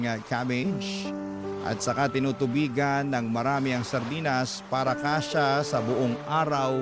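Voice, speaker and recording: A Filipino man reads a first-person story as a radio drama narrator. His mid-range voice is plaintive and sorrowful. Music plays clearly behind him. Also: mid-range voice. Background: background music.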